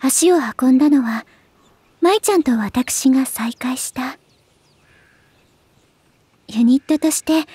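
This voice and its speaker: High, soft, wistful young lady. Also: no room sound. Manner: soft, polite, ladylike, wistful. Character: gentle, sentimental, refined young lady idol, formerly frail. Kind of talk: anime game voice drama, narration and dialogue.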